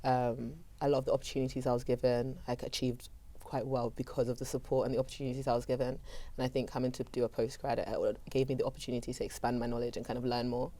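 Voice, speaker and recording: A quick, mellow-voiced young woman from London answers panel questions, with her voice a little off the mic. Her talk is chatty and full of "kind of" and "like". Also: rumbling low, level tone. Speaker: postgraduate student panelist.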